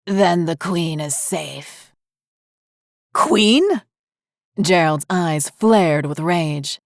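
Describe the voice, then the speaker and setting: Woman reading a scripted horror story, low voice, really dry close sound. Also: darkish tone, no room sound. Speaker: narrator.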